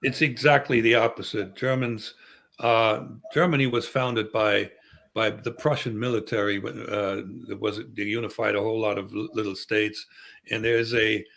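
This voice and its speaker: A male guest on a podcast panel, giving his opinions in a measured, thoughtful way. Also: on the low side, steady tone, creaky, a bit bright. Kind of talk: casual panel discussion.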